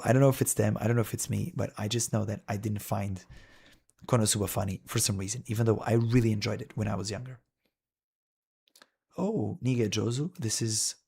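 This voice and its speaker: A man's voice: fairly low pitch, gravelly, darkish tone, breathy edge. A male streamer talking casually and wryly while browsing a list, rambling with frequent "dude" and "man".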